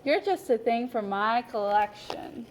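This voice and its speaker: A woman's voice: fairly high pitch, expressive, a little slow, slight background noise, low-quality recording. A woman voicing a fussy, tidy male rabbit who is easily flustered and keeps exclaiming in a storybook reading. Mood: surprised.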